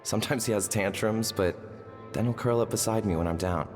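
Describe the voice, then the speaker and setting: A man's voice: lowish voice, fairly quick, darkish tone, faint music behind. A man playing a devoted, earnest carer of an animal companion in a video game, heartfelt in delivery, with an echoing flashback effect. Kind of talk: video game character acting. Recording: long reverberant echo effect.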